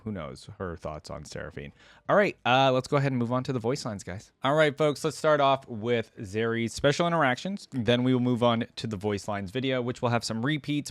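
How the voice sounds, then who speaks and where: A man's voice: medium pitch, no room sound. A man hosting a reaction video, chatting casually to his viewers as he comments. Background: faint music.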